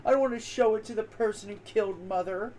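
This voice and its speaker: High-pitched, protesting little boy in denial played by a man, game drama, background noise. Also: fast talker, darkish tone, close to an everyday voice, low-quality recording. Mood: defiant denial. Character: frightened, defiant young boy in denial, pleading and shouting. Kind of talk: dramatic reading of video game dialogue.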